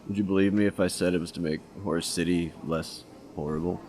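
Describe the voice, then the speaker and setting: Casting: actor as a wisecracking ex-undercover cop, low voice. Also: male voice, fairly even intonation, fast, darkish tone, lightly acted. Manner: wisecracking, casual. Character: young male detective, cocky ex-undercover cop.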